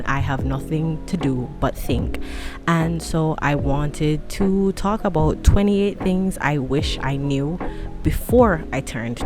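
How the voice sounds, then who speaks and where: Woman, late twenties, low voice, chatty vlog, rambling, self-deprecating, music behind. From tropical country. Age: 20s. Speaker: vlogger. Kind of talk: casual chat to camera. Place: home room with a fan running.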